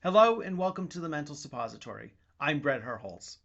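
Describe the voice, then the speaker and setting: A male podcast host reads scripted announcements in a high, forceful voice. The sound is slightly muffled, with faint music underneath. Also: very animated, fairly quick, vocal fry. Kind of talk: scripted podcast narration and mock-grand announcing.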